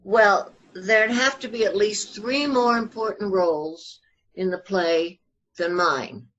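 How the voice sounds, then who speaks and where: An older woman being interviewed, telling family anecdotes conversationally in a halting but animated, storytelling manner, on muffled audio. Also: medium pitch. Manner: halting, animated retelling with acted-out quotes.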